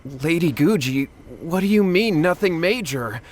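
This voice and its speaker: A man's voice: a little high, animated, measured pace, slight background noise. A man voicing an earnest, anxious young man in a video game, pleading as he talks. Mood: incredulous. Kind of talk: video game character dialogue.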